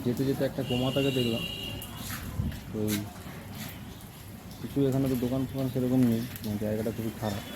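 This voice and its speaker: A man's voice: medium pitch, fairly even intonation, brisk, long pauses. A man narrating a casual travel vlog, chatty and repeating himself as he describes his surroundings. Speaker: vlogger. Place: outdoors on roads and in moving vehicles. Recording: very noisy outdoor traffic and vehicle noise. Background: loud vehicle and street noise.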